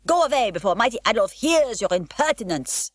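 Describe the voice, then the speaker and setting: A woman's voice: a little high, very animated, a little fast, no echo, studio quality, pitched above the performer's own voice. A woman voicing a blustering foreign male circus ringmaster, calling out to the crowd in broken showman's grammar. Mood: irritable.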